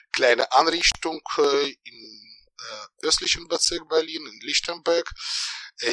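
A middle-aged man from Ukraine, a historian introducing himself formally with many hesitant pauses. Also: mid-range voice, bright, forceful tone, no echo, rough recording. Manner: frequent hesitations, measured and formal. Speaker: historian guest. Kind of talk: self-introduction in interview.

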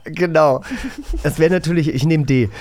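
A man's voice: fairly high pitch, normal speed, darkish tone. A man hosting a casual podcast, talking in a chatty, rambling way as he explains and asks questions. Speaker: podcast host and quizmaster. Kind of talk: casual radio play podcast talk.

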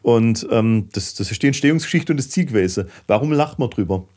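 A man's voice: mid-range voice, fairly quick. A man from southern Germany with a Swabian accent, talking casually as a podcast guest in a fidgety, storytelling way. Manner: fidgety, animated storyteller with dialect.

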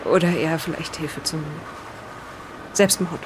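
A woman's voice: low voice, measured pace. Female role, woman acting: lighthouse keeper's wife, weary and guarded, hesitant delivery, audio drama, noisy backdrop. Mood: hesitant, confiding. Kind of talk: improvised in-character audio drama retelling. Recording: clearly noisy background, vehicle-like ambience.

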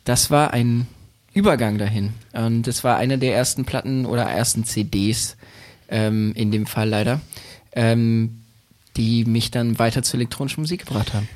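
Man, thirties, easygoing guest, hedges and restarts a lot, mid-pitched voice. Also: fairly even intonation, moderate pace, darkish tone. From Berlin, Germany. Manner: hesitant, many fillers and restarts, laughs easily. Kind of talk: relaxed conversational podcast chat.

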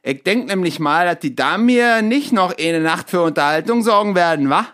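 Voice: medium pitch, lively intonation, normal speed, fairly bright tone, lightly acted. Cocky, sing-song young miller's son, acted out. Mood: nonchalant. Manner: colloquial, breezy, nonchalant. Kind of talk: amateur audio drama acting.

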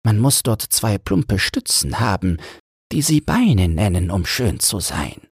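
A man voicing a wise, resigned old mermaid grandmother in a thin, breathy falsetto, slow and instructive, for a fairy-tale audiobook. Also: very animated, dry recording, exaggerated voice. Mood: grave, explaining. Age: older.

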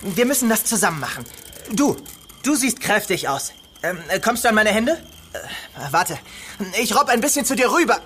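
Cheeky little toy boy, lively. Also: medium pitch, normal speed, slight background noise. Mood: eager, cooperative. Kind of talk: German children's audio drama acting.

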